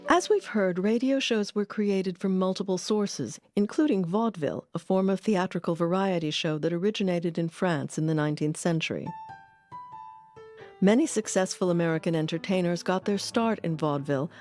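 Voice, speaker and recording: A woman giving measured, articulate scripted narration as a documentary narrator. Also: on the low side, a little mellow, soft background music.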